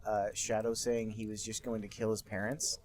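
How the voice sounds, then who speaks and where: A young adult man co-hosting a casual podcast, dropping dry, deadpan quips. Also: fairly low pitch, moderate pace, a little hiss or hum. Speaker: podcast co-host. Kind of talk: casual chat.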